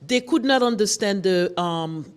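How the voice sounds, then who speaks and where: A woman's voice: mid-range voice, expressive, a little slow, smooth. A middle-aged woman from Haiti, a nonprofit director, explaining her work earnestly in a morning show interview. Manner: earnest, explanatory, with frequent restarts. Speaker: guest, nonprofit executive director. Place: TV studio.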